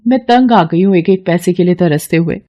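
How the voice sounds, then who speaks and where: A woman's voice: fairly low pitch, fairly quick, dark tone, close to an everyday voice. A woman voicing an earnest, troubled young female student in a story, speaking emotively. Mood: fed up. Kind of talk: dramatized story dialogue.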